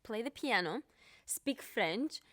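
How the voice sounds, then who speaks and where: A woman's voice: on the high side, lively intonation, slow pace, fairly bright tone, no room sound, clean studio sound. A young woman from Cyprus with a Greek Cypriot accent, a pianist being interviewed, talking with many fillers and restarts. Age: young adult. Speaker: pianist guest. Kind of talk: podcast interview.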